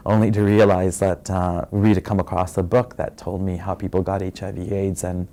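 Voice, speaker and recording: A man from Fiji telling his own story in a soft, reflective way, pausing often with fillers. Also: low-pitched, little pitch movement. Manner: soft-spoken and reflective, many pauses and fillers. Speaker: interviewee sharing his story. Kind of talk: personal testimony.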